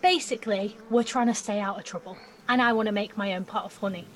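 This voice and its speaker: An actress playing a blunt, giddy nineteen-year-old apprentice in an audio drama, with a cheeky, informal way of talking. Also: female voice, medium pitch, fast talker, slight background noise, lightly acted. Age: young adult. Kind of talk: naturalistic audio drama acting.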